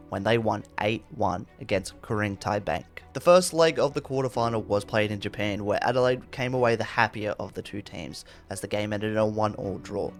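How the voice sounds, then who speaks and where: A man reading scripted narration for a football history video. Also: on the low side.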